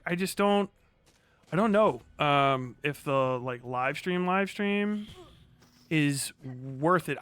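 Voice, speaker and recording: A man's voice: fairly high pitch, a bit bright, clean tone, no echo. A man chatting casually on a livestream as he plays a video game, rambling in slangy, profane language. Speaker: live streamer.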